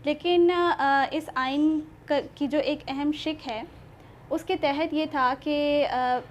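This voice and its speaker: A woman's voice: high voice, steady tone, slow pace, slight background noise. A woman with a Pakistani accent, hosting a talk show in a polite, formal and somewhat hesitant manner.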